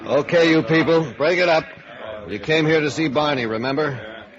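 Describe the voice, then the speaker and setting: An American man playing a gruff, skeptical police sergeant in 1950s radio noir, curt, commanding and sardonic. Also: a little high, normal speed, lightly acted. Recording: dull old radio recording, crowd murmur.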